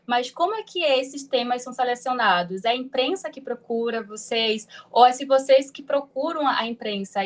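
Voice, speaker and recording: A Brazilian woman presenting and discussing research in a podcast-style academic talk. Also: on the high side.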